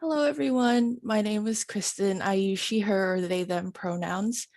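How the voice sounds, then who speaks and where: A woman advisor from the Philippines introducing herself on a video call in a modest, relaxed way. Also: mid-range voice, moderate pace, no echo, studio quality. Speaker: academic advisor. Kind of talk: webinar self-introduction.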